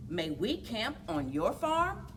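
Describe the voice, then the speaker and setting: A woman's voice: fairly high pitch, wildly swooping pitch, slow pace, a bit bright, recorded from a distance, soft background music, pitched above the performer's own voice, exaggerated voice. A woman voicing a friendly grown man in a farm storybook, with a polite sing-song delivery. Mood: polite, cheerful.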